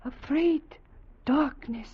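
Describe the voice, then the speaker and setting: A timid young woman of the future, speaking in a high, slow, childlike voice. Her English is broken into a few halting words, gentle and simple, on a dull 1950s radio drama recording. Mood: hushed dread.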